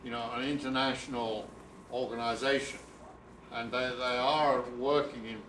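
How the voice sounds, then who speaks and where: A man's voice: mid-range voice, fairly even intonation, normal speed, fairly bright tone, roomy sound, slight background noise. A man in the audience asking a speaker questions hesitantly, with many fillers. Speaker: audience member. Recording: far from mic, faint ambience.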